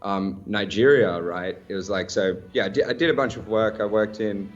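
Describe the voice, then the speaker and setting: Man, Australian accent, upbeat, casual slang, deep voice, muffled sound. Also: normal speed, soft background music. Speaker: guest, startup founder. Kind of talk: casual radio interview.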